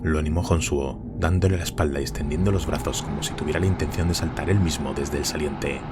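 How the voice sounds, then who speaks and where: A man narrating a dark war story in a grave, steady scripted delivery. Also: rumbling low, quick pace, very noisy. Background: very loud music.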